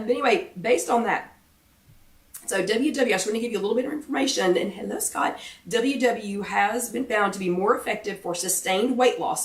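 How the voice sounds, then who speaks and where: Woman, American accent, hoarse worn-out voice, chatty livestream host, self-deprecating, roomy echo, distant mic. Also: medium pitch. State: hoarse, failing voice. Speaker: host of a live weight-loss chat. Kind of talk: casual livestream chat greeting viewers.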